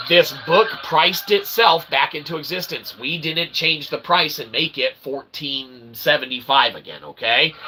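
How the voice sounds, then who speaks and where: Gravelly man ranting with loud outbursts. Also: on the high side, noisy background. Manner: jumps between mutters and sudden loud outbursts; peppers talk with "okay?" and "literally". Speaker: self-published author and channel host. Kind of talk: rambling monologue to camera.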